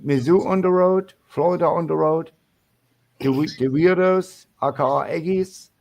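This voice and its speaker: An older German man calling in to a sports show, speaking English with a German accent in halting, restarting phrases. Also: fairly high pitch, a little mellow. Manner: halting, many restarts and fillers. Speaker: caller. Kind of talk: casual call-in chat.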